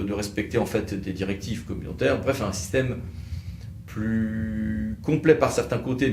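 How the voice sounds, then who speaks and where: Low creaky-voiced man explaining legal reform. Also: a little mellow. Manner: wry, sceptical, pointed asides. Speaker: legal expert presenter. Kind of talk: explanatory legal commentary on a bill. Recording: roomy echo, faint background noise.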